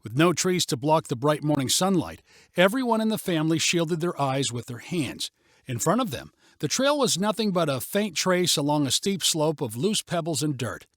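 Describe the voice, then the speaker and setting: A man reads a story as scripted audiobook narration. His voice is fairly high and very animated in pitch. The recording is close and dry. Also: moderate pace, no room sound.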